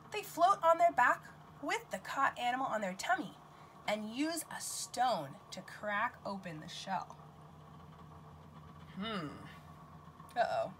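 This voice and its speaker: A woman teaching nursery school, reading a picture book aloud to young children in an expressive, questioning manner, with exclamations. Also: on the high side, a little hiss or hum, low-quality recording.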